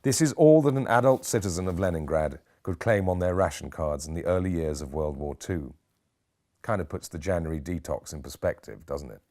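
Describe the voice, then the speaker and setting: Male actor as British history lecturer in his forties, low voice, theatrical, wry, rhetorical, audiobook character. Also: fairly quick, dark tone. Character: forty-three-year-old academic man lecturing, theatrical and wry.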